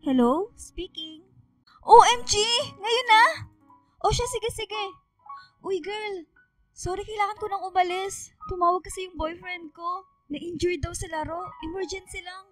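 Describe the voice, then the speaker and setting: An excitable young Filipina best friend in a radio drama. Her voice is extremely high, slangy and dramatic, picked up slightly off the mic over faint music. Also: a little slow, distant mic. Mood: excited.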